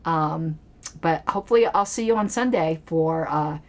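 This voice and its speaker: A woman's voice: low-pitched, moderate pace, darkish tone. A woman streaming a casual political commentary show from her basement, talking in a rambling, chatty way with lots of fillers and restarts. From Colorado. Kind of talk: casual solo livestream chat. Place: home basement.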